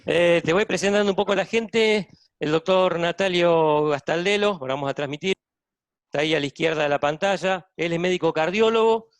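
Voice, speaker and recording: A man's voice: sits high, brisk, clean tone, no room sound. A man with a Buenos Aires Argentine accent, hosting a medical webinar and introducing guests on a video call. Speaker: webinar host, cardiologist. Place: hospital intensive care unit.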